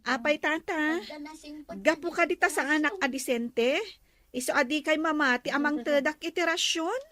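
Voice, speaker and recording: A woman's voice: high-pitched, normal speed, bright, forceful tone, no echo, studio quality. A woman playing a polite, generous young village woman in an Ilocano comedy audio drama. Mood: polite, kindly.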